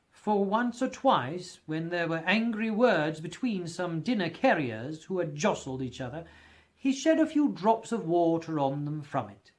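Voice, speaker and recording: A male audiobook narrator with a high voice for a man. He reads a scripted story theatrically, with expressive phrasing and widely swinging pitch.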